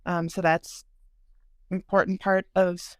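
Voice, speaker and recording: Smooth, slow-talking taiko player. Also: mid-range voice, level tone, no room sound, clean studio sound.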